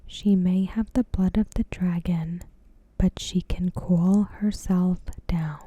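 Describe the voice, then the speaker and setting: A woman doing ASMR commentary in a breathy, hushed whisper, measured and deliberate, close to the microphone. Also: lowish voice, fairly even intonation, no echo. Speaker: ASMR creator reviewing a TV episode. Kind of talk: ASMR, soft scripted commentary. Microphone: close microphone in a dry space.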